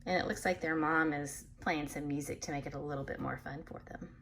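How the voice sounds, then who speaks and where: A woman reading a picture book aloud to children in an animated way, pausing to explain things patiently in simple words. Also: low-pitched, vocal fry, slight background noise. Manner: animated, acts out animal sounds, addresses young listeners. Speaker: read-aloud storyteller for children.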